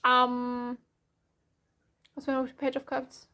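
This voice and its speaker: This is a young woman with a German accent talking through a tarot reading in English. Her voice sits high and stays fairly even in pitch. She rambles softly, full of fillers and restarts, as she talks to her viewers. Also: drawn-out words, smooth. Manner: soft, rambling, frequent self-corrections and fillers. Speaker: tarot reader. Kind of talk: casual spoken tarot reading.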